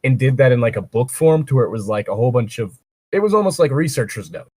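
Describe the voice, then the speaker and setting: Joking man with lively pitch, dry sound. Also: quick pace, slightly rough, dry recording. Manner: joking, digressive. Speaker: co-reader and commentator. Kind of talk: casual banter while reading fan fiction aloud.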